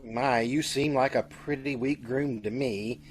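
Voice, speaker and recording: A man's voice: mid-range voice, fairly even intonation, unhurried, slightly rough. A man playing a nosy, pompous hotel bellman in a chatty, self-important old-time radio comedy style. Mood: officious. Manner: chatty, fussy, self-important, swooping delivery.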